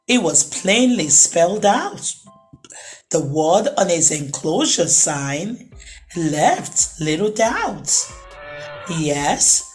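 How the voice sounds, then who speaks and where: A woman narrating a children's storybook as a storytime host, with expressive, deliberate phrasing for young listeners. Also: lowish voice. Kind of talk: children's storybook read-aloud, rhyming narration.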